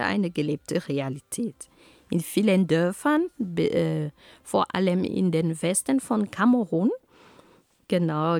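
A woman from Cameroon with a Cameroonian accent, giving a slow, deliberate prepared talk with frequent fillers and self-corrections. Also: fairly low pitch, creaky, darkish tone, no echo, studio quality. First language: Homala. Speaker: speaker on peace and culture.

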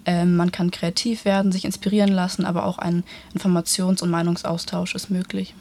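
A woman's voice: lowish voice, little pitch movement, a little fast, a little mellow. An eighteen-year-old woman, a student panelist, reading a prepared answer with many filler hesitations. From Germany.

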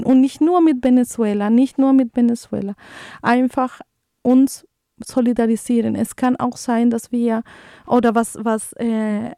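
A woman's voice: on the high side, creaky, warm tone, dry recording, clean studio sound. A woman from Colombia in her thirties, a native Spanish speaker with a Colombian accent, hosting a community radio show in a hesitant way full of fillers and restarts. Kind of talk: community radio commentary and news reading.

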